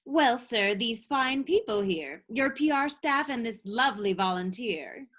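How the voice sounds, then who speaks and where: A woman's voice: on the high side, expressive, measured pace, fairly bright tone. A woman playing a saccharine, condescending campaign press handler in a theatrical comedy. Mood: sugary, dismissive. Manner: sugary, condescending. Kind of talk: theatrical musical comedy acting.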